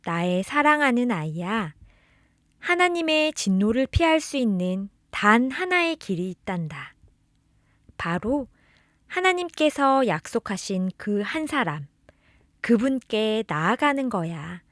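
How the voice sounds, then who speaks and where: A woman's voice: a little high, big pitch swings, slow, fairly bright tone, dry recording, studio quality. A woman reading a scripted children's religious storybook, expressively addressing the listener as a beloved child. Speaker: storybook narrator reading children's catechism tales.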